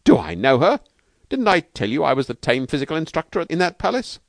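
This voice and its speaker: A man's voice: a little high, sing-song, fairly quick, creaky, dry recording, clean studio sound, voice pushed up. Man doing a brash, slangy tough-guy trainer. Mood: chummy, sympathetic. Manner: streetwise, emphatic, colloquial.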